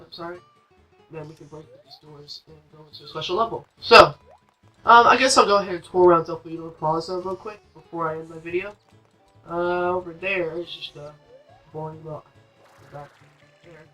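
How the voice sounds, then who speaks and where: A man's voice: fairly high pitch, steady tone, normal speed, pushed and bright, highs cut off. A man hosting a let's play, giving casual, rambling, informal commentary as he plays a video game. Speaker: gaming video host. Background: game music.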